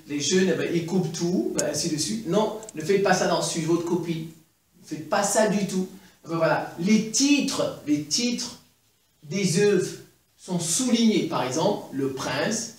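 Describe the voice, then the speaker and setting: A middle-aged man with a Central African French accent giving an animated chalkboard lesson, repeating points with many fillers. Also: a little high, recorded from a distance. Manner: animated, gesturing, repeats points with frequent "eh ben, voilà". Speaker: teacher. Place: classroom in front of a chalkboard. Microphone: far camera. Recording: very echoey room, voice from afar.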